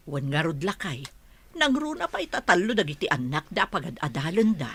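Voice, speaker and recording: A woman's voice: fairly low pitch, sing-song, fairly quick, a bit bright, slightly rough, dry recording, exaggerated voice. A woman acting as a sensible middle-aged mother in a radio drama, advising expressively. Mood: agreeable.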